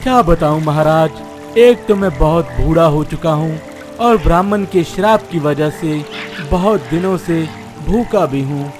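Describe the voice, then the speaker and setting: A man's voice: a little high, a little slow, lightly acted. An actor voicing a sly old male snake in a cartoon moral story, speaking in a deferential, wheedling manner. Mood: weary, pleading. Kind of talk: animated Hindi moral story dialogue. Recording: music behind the voice.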